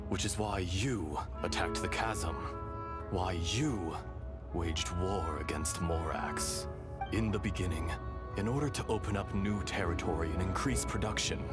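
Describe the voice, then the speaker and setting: A solemn, deep dragon remnant. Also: male voice. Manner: slow, solemn, half-whispered narration. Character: calm male remnant spirit of an ancient dragon. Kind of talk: video game voice acting. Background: loud game score.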